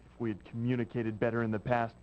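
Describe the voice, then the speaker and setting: An actor playing a grave, resolute rebel warrior in a video game, with solemn, deliberate delivery. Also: male voice, medium pitch, flat delivery, highs cut off, natural voice. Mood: reproachful. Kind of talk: dramatic video game cutscene acting. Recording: noisy 1990s game audio.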